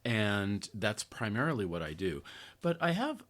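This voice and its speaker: Older American man with a low voice. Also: big pitch swings, measured pace, fairly bright tone. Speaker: podcast host, therapist and activist writer. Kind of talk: reading own article aloud with conversational asides.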